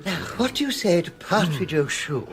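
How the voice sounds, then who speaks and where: An actor playing a jovial, gossipy show impresario and gourmand in a British radio drama, effusive and theatrical. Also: male voice, high voice, sing-song, normal speed. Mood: jovial, hospitable.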